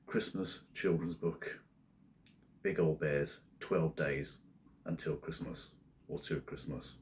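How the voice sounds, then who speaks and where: A man with a croaky, husky voice from a cold, talking in a rambling, drowsy, self-correcting way as a cartoonist vlogger. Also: deep voice, slight background noise. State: hoarse from a cold. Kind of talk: casual studio vlog talk.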